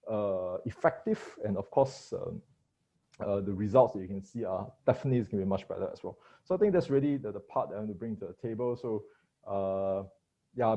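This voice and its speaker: Husky low-voiced man, lo-fi sound. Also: mellow. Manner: hesitant, many "uh" fillers. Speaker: forum panelist. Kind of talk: panel talk. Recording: dull lo-fi sound.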